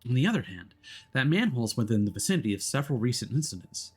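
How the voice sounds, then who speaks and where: A man's voice: mid-range voice, big pitch swings, fast, darkish tone, husky. A man live-streaming a game, reading its story aloud expressively and dropping in casual asides. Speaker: streamer playing a visual novel.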